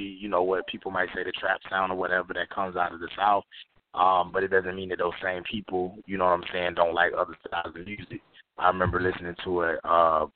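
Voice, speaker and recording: Deep, flat-voiced man on a phone line. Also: a little hiss or hum. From Florida. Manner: laid-back, many fillers and restarts. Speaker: interview guest, recording artist. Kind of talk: casual interview talk. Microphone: phone call.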